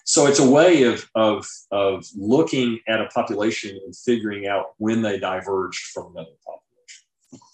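A man with a fairly low voice talks in a roomy, echoing recording. He explains ideas informally, like an interview guest who knows science and reaches for everyday examples. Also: moderate pace.

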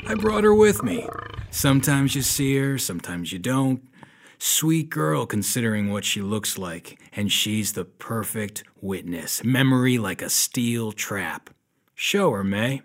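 Husky hard-boiled private eye. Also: male voice, medium pitch, very animated, measured pace. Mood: commanding, sardonic. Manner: dry, sardonic, unruffled. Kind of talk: noir audio drama acting.

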